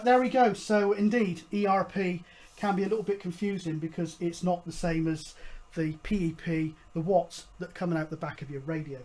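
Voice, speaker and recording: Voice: mid-range voice, a little mellow. A host explaining things casually, in a hesitant, rambling, matter-of-fact way. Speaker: host of a hobby CB radio show.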